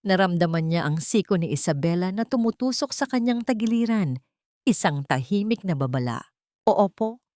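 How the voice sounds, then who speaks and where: A woman reading a dramatic scripted story in a measured, solemn and suspenseful way. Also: on the low side, animated, a little mellow, no echo, studio quality. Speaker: audio story narrator.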